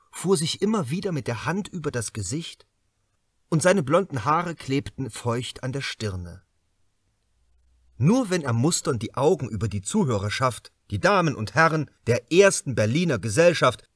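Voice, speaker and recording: A man's voice: a little high, fairly bright tone, no echo, clean studio sound. A man narrating a crime novel as an audiobook, reading from a script with dramatic emphasis.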